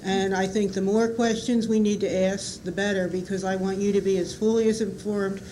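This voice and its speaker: A woman with a bad cold and a weak voice, giving a public comment at a school board meeting in an earnest, measured way. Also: medium pitch, flat delivery, dark tone, rough recording. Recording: 1990s public-access broadcast with background hiss.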